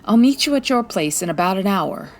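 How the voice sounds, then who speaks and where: A woman's voice: mid-range voice, lively intonation, a little fast. A woman playing a confident, wry 1940s private detective in a radio-style comedy drama, breezy and teasing. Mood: brisk, confident. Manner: breezy, teasing, self-assured.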